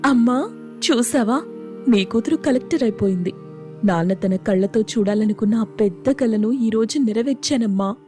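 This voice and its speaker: Woman as a mellow, devoted elder sister. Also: a little high, normal speed, faint music behind, close to an everyday voice. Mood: proud, resolute. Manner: reassuring, earnest. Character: devoted, principled village elder sister turned district officer. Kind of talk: dramatised moral story acting.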